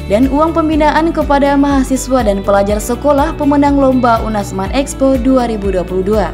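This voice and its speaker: A woman narrating a scripted regional news report in an even, formal reading voice. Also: high voice. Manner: even, formal read delivery. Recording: voice-over with music bed.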